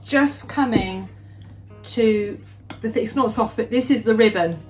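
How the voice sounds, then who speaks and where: A woman's voice: on the high side, a little slow. Chatty middle-aged woman over music. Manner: chatty and rambling, many restarts and "you know"s. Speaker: home baker, the host's mother. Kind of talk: casual chat while baking.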